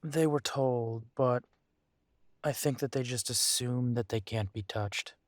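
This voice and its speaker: A man performs an earnest, protective werewolf pack leader in an audio drama. He talks slowly, pausing and hesitating as he weighs his words. The sound is dry and close, with no room around it. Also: mid-range voice, no room sound, natural voice. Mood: concerned. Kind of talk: audio roleplay acting.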